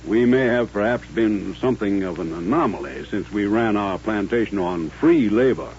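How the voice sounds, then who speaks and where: Courtly colonel, muffled old radio. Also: male voice, on the low side, animated, a little mellow, a little hiss or hum. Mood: grave, dignified. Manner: formal, courteous, measured. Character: courtly, dignified older Southern colonel turned rancher. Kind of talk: old-time radio drama acting.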